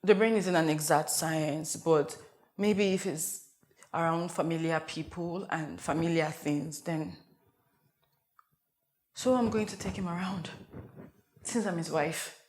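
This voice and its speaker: A woman's voice: low voice, slow pace, pauses often, a little mellow. A woman performing a dramatic comedic monologue as a frazzled young wife and mother who rambles and interrupts herself in a meltdown. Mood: flustered, subdued. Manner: rambling, flustered, self-interrupting. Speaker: actor performing an audition monologue.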